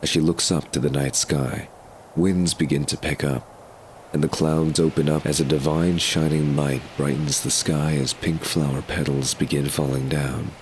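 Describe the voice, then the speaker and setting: Very deep-voiced male narrator. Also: steady tone, normal speed, a little hiss or hum, rough recording. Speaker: narrator of an audio drama. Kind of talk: scripted narration.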